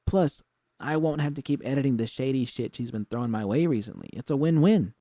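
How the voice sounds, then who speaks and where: Man voicing an insistent young woman, pitched high. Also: a little fast, warm tone, no room sound, lightly acted. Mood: eager, persuasive. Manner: insistent, slangy. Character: young woman technician, earnest, defensive, stubbornly hopeful.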